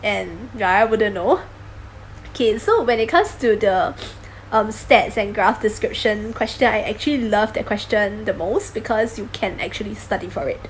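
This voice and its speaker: A woman's voice: medium pitch, moderate pace, slight background noise, rough recording. A woman vlogger talking casually about taking a language exam, chatty and self-deprecating, with frequent ums and little laughs. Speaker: vlogger sharing exam tips. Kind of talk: casual talking-to-camera vlog.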